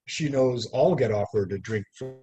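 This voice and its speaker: A man reading his poetry aloud over a video call, in a deliberate, theatrical way with measured pauses. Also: fairly low pitch, warm tone, husky. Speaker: poet reading own work. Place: live online video call. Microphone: video call microphone.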